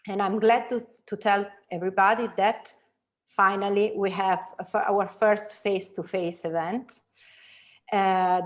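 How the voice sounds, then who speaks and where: Woman moderating a webinar, halting. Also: mid-range voice, darkish tone, highs cut off. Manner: halting, frequent fillers and restarts. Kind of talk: event announcements. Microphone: video call.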